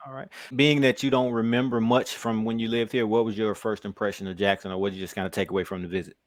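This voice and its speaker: A man with a low voice hosts a casual sports interview. He talks fast and teases his guest. The sound is dry and close. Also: little pitch movement, dry recording. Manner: quick, friendly, teasing questions. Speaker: podcast interviewer.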